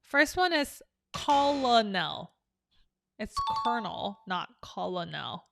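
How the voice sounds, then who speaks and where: Slow-talking woman teaching English. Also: mid-range voice, big pitch swings, gravelly, over music. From South Korea. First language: Korean. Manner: chatty and upbeat, explaining with examples. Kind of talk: casual online English lesson.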